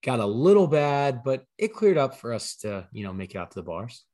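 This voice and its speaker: A man co-hosting a sports podcast, his voice slightly hoarse from losing it, explaining things in an easygoing, conversational way. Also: medium pitch, very animated, a little fast, mellow. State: partly lost voice, slightly hoarse. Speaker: podcast co-host. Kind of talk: casual sports podcast chat.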